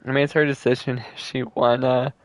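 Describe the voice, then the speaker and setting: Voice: medium pitch, little pitch movement, brisk, dry recording. A game streamer giving casual, slangy, joking reaction commentary in a laid-back way.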